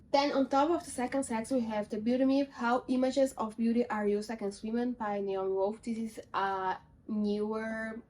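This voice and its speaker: A woman's voice: fairly high pitch, darkish tone, a little hiss or hum, rough recording. Chatty young woman. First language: not English. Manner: chatty, listing titles, self-deprecating asides. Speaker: book vlogger. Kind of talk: casual book haul chat.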